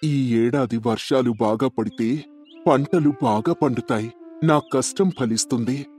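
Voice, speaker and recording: A man's voice: mid-range voice, unhurried, darkish tone, faint music behind, lightly acted. A man playing a kind, hardworking village farmer in a storybook tale, earnest and reassuring.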